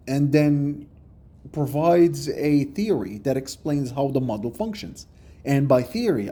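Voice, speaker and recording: A man giving a measured, explanatory commentary for a response video, recorded on a phone. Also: mid-range voice, warm tone, breathy edge.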